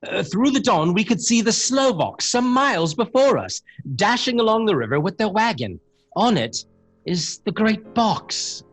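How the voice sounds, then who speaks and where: An actor playing a determined young Victorian gentleman, delivering earnest diary entries in a dramatic radio play. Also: high voice, very animated, unhurried, heavily acted. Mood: urgent. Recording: music and effects behind the voice.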